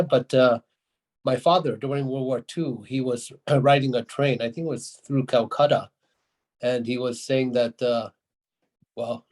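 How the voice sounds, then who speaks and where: Older male audience member, husky mid-pitched voice, informal and halting. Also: a little mellow, low-quality recording. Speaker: retired academic in the audience. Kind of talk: informal discussion comments.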